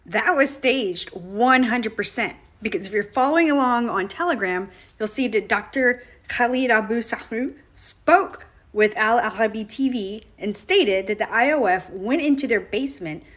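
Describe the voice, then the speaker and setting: Woman, slightly high voice, firm and emphatic commentary. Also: expressive, moderate pace, few pauses, fairly bright tone. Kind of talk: social media commentary.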